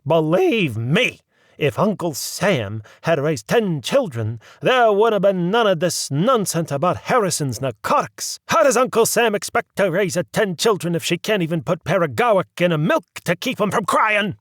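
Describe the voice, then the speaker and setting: Angry old woman voiced by a man, squawking, yelling, high-pitched, lively. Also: normal speed, no echo, studio quality. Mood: indignant.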